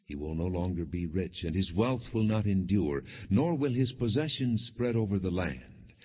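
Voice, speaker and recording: A man narrating scripture as an audiobook in a solemn, measured, non-dramatized reading with deliberate phrasing. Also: deep voice, expressive, slightly rough. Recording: muffled, narrow phone-like sound.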